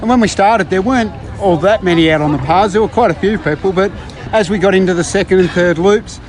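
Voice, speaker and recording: Aussie guy, high-ish voice, chatty and jokey, interviewing people outside at a run. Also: a faint crowd. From Victoria, Australia. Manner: upbeat, chatty, quick to joke. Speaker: podcast host doing roving interviews. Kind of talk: casual roving interview. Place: outdoors at a park run event.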